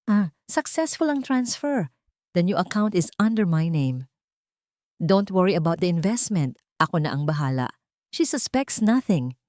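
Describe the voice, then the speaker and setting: Female narrator as scheming Filipino husband in audio drama, coolly reassuring and dismissive, animated intonation, dry sound. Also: fairly low pitch, unhurried, no echo. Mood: scheming, confident. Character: deceitful, smooth, dismissive husband, a businessman.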